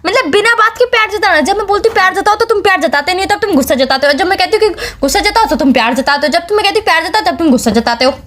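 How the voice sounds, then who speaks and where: Woman acting a high-voiced, fast-talking clingy girlfriend. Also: lively intonation, a bit bright. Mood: suspicious, accusing. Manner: nagging, insistent, repeats her questions. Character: clingy, suspicious, easily hurt young girlfriend. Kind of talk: romantic phone-call skit in Hindi.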